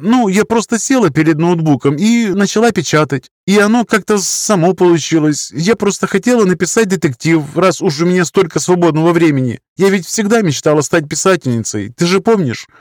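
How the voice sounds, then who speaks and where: Guy doing a nervy defensive woman almost 30, high pitched pleading voice, audiobook dialogue, super dry sound. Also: animated, a little fast, hardly any pauses, darkish tone, no echo. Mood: flustered, explaining. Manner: pleading, self-justifying, wordy. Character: anxious, defensive woman of nearly thirty, aspiring writer.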